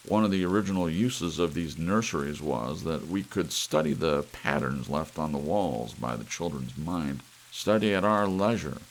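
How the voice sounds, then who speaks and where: A man's voice: deep voice, vocal fry, exaggerated voice, poor audio. A man voicing a blunt, grave psychologist who warns emphatically, in an audiobook story with rain behind. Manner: emphatic, admonishing. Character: blunt, grave male psychologist warning a friend. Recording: audible hiss with rain-like noise.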